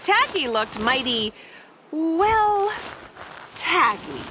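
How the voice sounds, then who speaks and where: A woman reading a children's picture book aloud, with expressive, playful and dramatic emphasis on the words. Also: sits high, drawn-out words, noisy background. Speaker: read-aloud storyteller.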